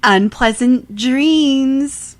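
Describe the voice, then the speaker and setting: Wry woman with a higher-pitched voice. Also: extremely slow. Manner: wry, joking asides. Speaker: podcast co-host. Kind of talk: casual banter.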